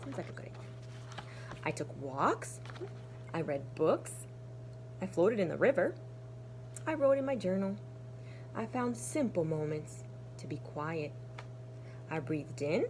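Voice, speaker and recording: A woman teacher reading to young children in an expressive, deliberate storytelling manner. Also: fairly low pitch, slight background noise, rough recording. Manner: animated, deliberate storytelling with hushed, breathy asides. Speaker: kindergarten teacher. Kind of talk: expressive children's storybook read-aloud with asides.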